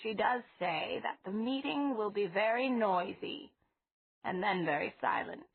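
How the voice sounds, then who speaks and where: A woman reading aloud, voicing a gentle, fussy elderly woman who is a witch, speaking softly and fondly with a husky tone. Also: mid-range voice, expressive, full character voice. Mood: musing. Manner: soft, fond, slow. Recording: tinny phone-like sound.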